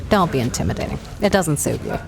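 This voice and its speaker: Low-voiced, sarcastic adventuress. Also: female voice, animated, fast, vocal fry, background noise. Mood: defiant, dry. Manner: dry, sarcastic. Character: wry, defiant 1930s treasure-hunting adventuress. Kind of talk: 1930s-set adventure audio drama acting.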